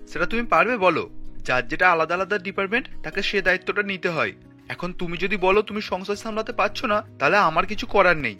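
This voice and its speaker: A man's voice: high voice, bright, forceful tone. A man voicing a stingy, condescending young husband in a cartoon, arguing dismissively. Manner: argumentative, condescending. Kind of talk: Bengali cartoon voice acting.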